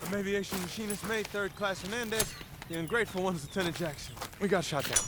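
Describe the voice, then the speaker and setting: An actor playing a tired young navy aviation mechanic. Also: male voice, sits high, fast, background noise, lightly acted. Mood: weary. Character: weary young male navy airman.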